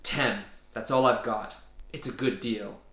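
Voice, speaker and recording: A man's voice: fairly low pitch, fairly even intonation, measured pace, fairly bright tone. A man voicing an earnest, easily exasperated teenage boy in a storybook, pleading and yelping. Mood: desperate, indignant. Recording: roomy echo.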